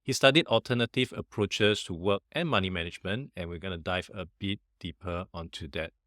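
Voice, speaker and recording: A man's voice: sits low, brisk, a bit bright, no room sound, clean studio sound. A man hosting a podcast, reading a scripted introduction and then asking questions with friendly curiosity. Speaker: podcast host.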